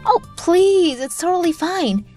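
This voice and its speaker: Man putting on a high, sweet young woman. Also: animated, a little slow, darkish tone, faint music behind. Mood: gentle. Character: sweet, gentle young woman, shy love interest. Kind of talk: manga dub dialogue.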